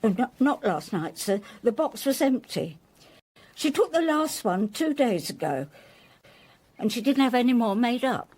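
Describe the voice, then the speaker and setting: A devoted housemaid in a radio drama. Her voice is fairly high and husky, with very lively swings of pitch. She is respectful, earnest and inclined to gossip. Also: measured pace.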